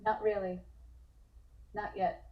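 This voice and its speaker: A woman's voice: medium pitch, little pitch movement, takes it slowly, highs cut off, natural voice. A woman playing a drugged, withdrawn young artist held in an asylum, in a script read-through. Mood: flat, withdrawn.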